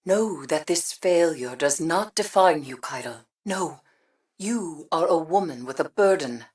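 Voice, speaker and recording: An actress playing a solemn, haunted witch in a game, grave, deliberate and cryptic as she counsels. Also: low voice, animated, a little mellow, distant mic. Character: solemn, haunted witch seeking peace and guiding others. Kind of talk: dramatic game voice acting.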